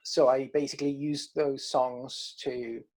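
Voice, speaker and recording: Man, hesitant and halting. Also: medium pitch, little pitch movement, darkish tone. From Caribbean coast of Colombia. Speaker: band musician being interviewed. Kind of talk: casual interview chat.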